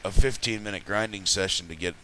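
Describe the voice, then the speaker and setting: A man hosting a casual game commentary, his voice raspy from having just woken up, rambling and chatty as he plays. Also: low-pitched, fairly quick, sharp tone, a little hiss or hum. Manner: rambling, chatty, self-correcting. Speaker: let's play host.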